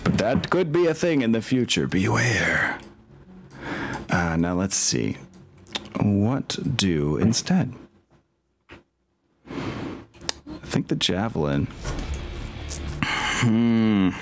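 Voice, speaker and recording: A man talking casually as a game master, often thinking his decisions out loud. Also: lowish voice, sing-song, extremely slow, long pauses. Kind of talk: casual tabletop game talk. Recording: music playing behind the voice. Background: background music.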